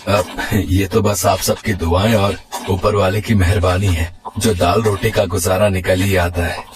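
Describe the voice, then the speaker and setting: A man's voice: low-pitched, little pitch movement, measured pace, voice pushed down. A man voicing a humble, worried poor shopkeeper and family man in a moral storybook tale.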